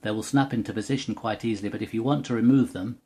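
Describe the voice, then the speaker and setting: A man with a fairly low voice talks through a technical tutorial. He is unscripted and methodical, taking the listener through the product one step at a time as an explainer would. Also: fast, warm tone, slightly rough. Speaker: product demonstrator.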